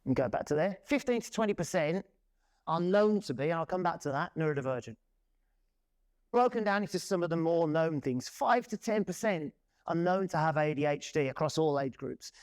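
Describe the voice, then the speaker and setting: A man in his forties giving a loud, lively presentation to a conference audience. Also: on the high side. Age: 40s. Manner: loud, lively, self-deprecating. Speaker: conference speaker. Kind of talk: presentation talk. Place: conference room.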